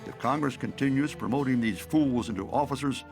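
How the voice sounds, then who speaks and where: An actor voicing a weary officer in a cartoon, dry and exasperated. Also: male voice, mid-range voice, brisk, gravelly, close to an everyday voice. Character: weary male officer, fed up with job seekers. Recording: music behind.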